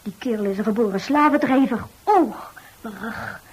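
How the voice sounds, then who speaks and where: A woman's voice: fairly high pitch, moderate pace, a little mellow, slight background noise, lightly acted, poor audio. A woman acting a tough, stubborn working-class young woman in a radio drama, speaking bluntly and colloquially. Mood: aching, complaining. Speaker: radio actor.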